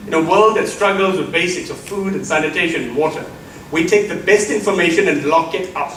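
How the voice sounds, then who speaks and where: A man's voice: on the high side, fairly quick, fairly bright tone, a little hiss or hum. A middle-aged South African man giving an emphatic keynote lecture, addressing the audience as colleagues. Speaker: keynote speaker. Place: lecture hall. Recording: roomy echo from far mic, faint ambience.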